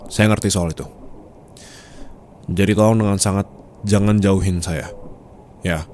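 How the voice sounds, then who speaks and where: Over faint background ambience, a low-pitched male boss acted out by a man speaks in an intimate ASMR roleplay. He is possessive and teasing, commanding yet forgiving. He talks close to the listener, coaxing and pressing with short prompting questions. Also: fairly even intonation, unhurried, darkish tone, a little hiss or hum. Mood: reassuring, earnest.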